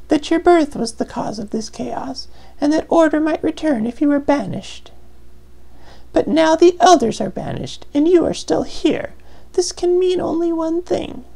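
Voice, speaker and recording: An actress playing an elderly, motherly weaver guardian in a video game, speaking in a grave, portentous, deliberate way. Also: high-pitched, gravelly, mellow. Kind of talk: dramatic video game voice acting.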